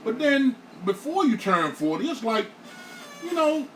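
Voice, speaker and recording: A man in his late thirties talking casually on a panel, animated and repeating himself, acting out his own thoughts. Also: extremely high pitch, unhurried. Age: 30s. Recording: echoey room, far from mic, faint hiss.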